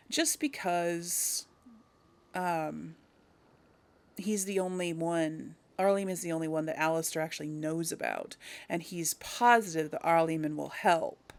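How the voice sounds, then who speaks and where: A woman giving casual let's play commentary, chatting to her viewers and thinking aloud as she hosts. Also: on the low side. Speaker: host of a video game role-play series.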